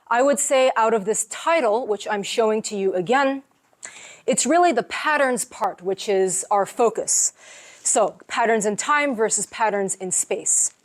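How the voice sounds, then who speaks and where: Woman explaining research in a seminar. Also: on the high side. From United States. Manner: relaxed, explanatory, with frequent fillers. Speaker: professor giving a seminar. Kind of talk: academic seminar lecture.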